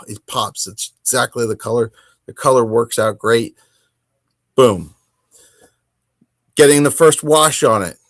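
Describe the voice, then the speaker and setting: A middle-aged man talks as the host of a casual hobby podcast. His delivery is informal and meandering, with emphatic phrasing, in a medium-pitched voice. The sound is dry, with no room echo.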